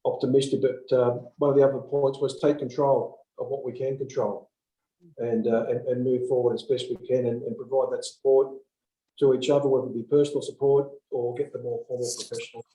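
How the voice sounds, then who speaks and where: A man in his fifties, a police officer, speaking haltingly with many fillers on a video call from an office. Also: medium pitch, level tone, dark tone. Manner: halting, many ums and uhs. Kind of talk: online panel discussion. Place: office with blinds. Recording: roomy laptop audio.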